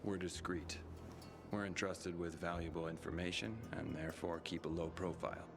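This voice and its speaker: A man's voice: deep voice, flat delivery, moderate pace, creaky. An actor playing a discreet male guild messenger who speaks formally and precisely. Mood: reserved. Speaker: guild carrier. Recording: game cutscene with music bed.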